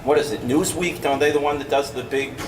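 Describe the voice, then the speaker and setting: A man's voice: medium pitch, fairly even intonation, fast. A father making an emphatic public comment at a school board meeting, often asking "right?", speaking into a stand mic in a large room. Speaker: parent giving public comment. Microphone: stand mic a few feet away. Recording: roomy, distant, noisy room sound.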